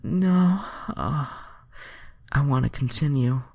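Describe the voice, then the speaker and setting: Man acting as young alien heroine, high falsetto, breathy, eager, excitable, fan fiction character, dry sound. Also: takes it slowly, no room sound. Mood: unsure.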